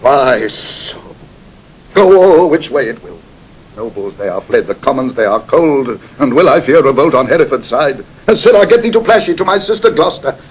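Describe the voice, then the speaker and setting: A husky, careworn old duke. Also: male voice, medium pitch, animated, moderate pace, rough recording. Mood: flustered. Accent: British. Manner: muttering, hesitant, breaking off in fluster. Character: careworn, aged royal duke, flustered and bewildered. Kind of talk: classical Shakespearean radio acting.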